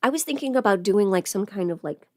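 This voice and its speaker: A woman chats casually on a livestream as she gets ready. Her pitch sits in a middle range for a woman, and she rambles, breaking off to think aloud. The sound is close and dry, with very little room on it. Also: lively intonation, quick pace, darkish tone, no room sound. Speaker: livestreamer chatting with viewers.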